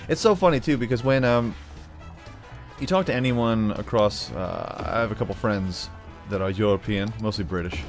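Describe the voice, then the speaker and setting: Low-voiced American man, playful gaming commentary. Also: normal speed. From United States. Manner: playful, often slips into put-on drawls; rambling, thinks aloud while working. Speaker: gaming video host. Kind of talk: casual gameplay commentary. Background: background music.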